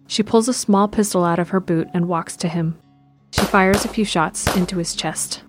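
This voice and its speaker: A woman's voice: fairly low pitch, normal speed, music in the background. A woman narrator reading scripted present-tense narration for an audio drama.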